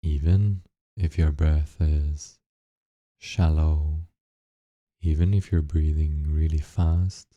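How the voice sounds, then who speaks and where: A man with an extremely low, very dark and mellow voice guides a meditation. He gives gentle instructions in short phrases, leaving long, slow pauses between them. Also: fairly even intonation, dry recording, dull audio.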